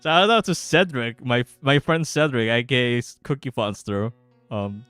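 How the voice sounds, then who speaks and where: A man's voice: mid-range voice, a little slow, fairly bright tone, clear, resonant tone, no echo. A man co-hosting a livestream in loose, casual chat. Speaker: livestream co-host. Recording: dry voice with music behind it.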